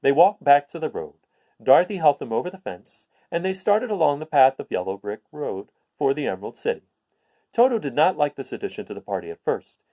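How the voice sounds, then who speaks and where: Male storyteller, hugely varied intonation, creaky edges, expressive children's storybook narration. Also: medium pitch, brisk, lo-fi sound. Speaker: storyteller, host of a read-aloud series.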